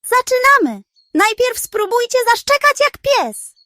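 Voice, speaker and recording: A woman voicing a sly, self-satisfied male pug, boastful and excitable, in a children's audiobook. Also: very high-pitched, a little slow, no room sound, clean studio sound, voice pushed up, exaggerated voice. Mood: excited, bossy.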